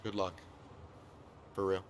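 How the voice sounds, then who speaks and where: An actor playing a friendly, open-hearted young man, talking warmly and casually in a naturalistic video game style. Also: sits low, monotone, takes it slowly, slight background noise. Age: young adult. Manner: warm, casual, earnest.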